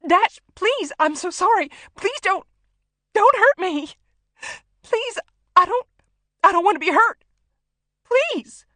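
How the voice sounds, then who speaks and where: A woman narrator playing a frightened female doctor held hostage in an audiobook, pleading desperately in halting, breathless bursts. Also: very high voice, lively intonation, bright, forceful tone, dry recording, voice pushed up, heavily acted. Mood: terrified, tearful. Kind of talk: audiobook character acting.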